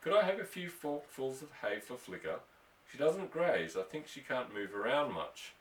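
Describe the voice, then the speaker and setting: A man voicing an earnest, timid but persistent young boy in an audiobook, with gentle pleading. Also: mid-range voice, big pitch swings, moderate pace, close to an everyday voice. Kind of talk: audiobook character voice. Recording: echoey room, distant microphone.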